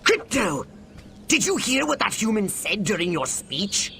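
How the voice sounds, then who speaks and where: An actor playing a bossy, scheming alien commander in a video game cutscene, speaking in a clipped, commanding way. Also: male voice, high-pitched, very animated, unhurried, vocal fry, pushed and bright, slight background noise, heavily acted.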